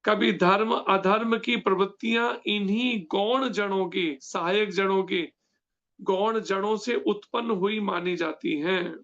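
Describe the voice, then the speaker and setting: A man giving a devotional scripture lecture who explains slowly and keeps quizzing his listeners with questions. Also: sits high, a bit bright, dull audio. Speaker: scripture class teacher.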